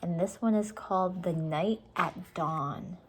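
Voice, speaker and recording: A woman reading a storybook aloud to young children, pausing to explain things to them. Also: on the low side, slight background noise. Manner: explains words and asks questions for young listeners. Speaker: storybook reader.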